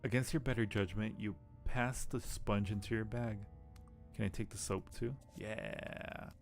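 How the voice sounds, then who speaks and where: Gravelly, slightly low-voiced male game streamer. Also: fairly even intonation, a little slow. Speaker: livestream host. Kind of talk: casual game stream, reading text aloud and chatting. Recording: music behind the voice. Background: music.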